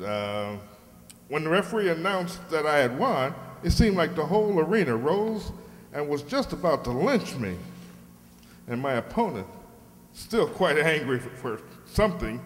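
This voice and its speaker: A man playing an aggrieved, somewhat baffled professional wrestler as a contestant on an old-time radio quiz show. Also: on the high side. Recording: reverberant hall.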